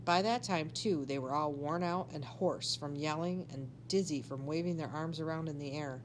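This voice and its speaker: Lower-voiced woman reading a story, background noise. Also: normal speed. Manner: animated, acts out dialogue. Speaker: teacher reading a children's book aloud. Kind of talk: expressive read-aloud storytelling.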